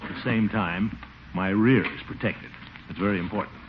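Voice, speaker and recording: An actor playing a pompous, flustered middle-aged uncle in a 1940s radio comedy, blustering and muttering to himself. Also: male voice, on the low side, normal speed, lightly acted. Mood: nervous muttering. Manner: blustery, muttering, self-important. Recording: dull, noisy 1940s radio recording.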